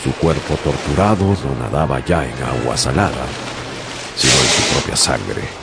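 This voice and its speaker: A man narrating a seafaring story as a sailor in a radio drama, measured and storytelling. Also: a bass voice, creaky, heavy background noise. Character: man, sailor recounting a whale hunt.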